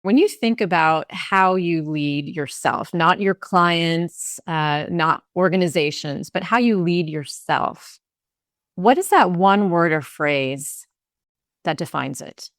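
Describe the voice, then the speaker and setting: A woman with a low, smooth voice hosts an interview and puts measured, probing questions to her guest. The sound is dry and close to the mic. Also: no echo. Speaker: podcast host.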